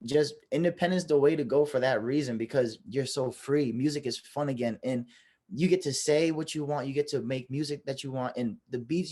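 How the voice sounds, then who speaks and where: A man hosting a podcast, speaking in a casual conversational interview style. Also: medium pitch.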